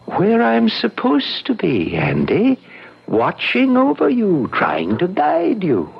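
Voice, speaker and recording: An actor playing a mysterious, patient guardian with a deliberate manner in an old-time radio drama. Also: male voice, sits high, huge pitch swings, creaky, breathy edge, exaggerated voice. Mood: mysterious, calm. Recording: dull vintage radio recording.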